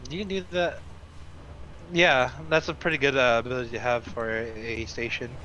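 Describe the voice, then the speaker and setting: A man's voice: mid-range voice, moderate pace, sharp tone, lo-fi sound, slight background noise. A man bantering casually over voice chat as a gaming co-player, with a joking, self-deprecating manner.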